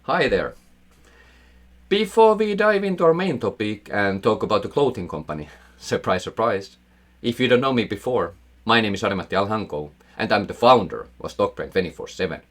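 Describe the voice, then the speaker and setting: Finnish-accented male host in his thirties, low register, very animated, scripted explainer read with gusto. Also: a little fast. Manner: enthusiastic, emphatic, self-mocking asides. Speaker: investing channel host.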